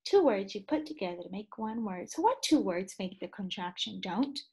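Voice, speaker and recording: Animated woman teacher reading aloud. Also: medium pitch, normal speed, slightly rough. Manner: animated, gasps and sighs, asks children questions. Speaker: second grade teacher. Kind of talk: children's read-aloud with questions.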